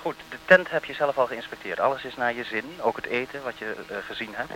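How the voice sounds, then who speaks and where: A man's voice: mid-range voice, fast talker, sharp tone, slight background noise, rough recording. A man working as a radio interviewer, asking questions and making announcements without a script.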